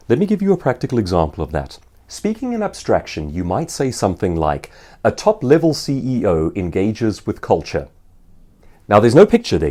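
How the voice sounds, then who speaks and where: Man, South African accent, mellow tone, very varied intonation, articulate explainer, instructional delivery to viewers. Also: lowish voice. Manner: articulate, explanatory, poses rhetorical questions. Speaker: author and professional speaker answering viewer questions.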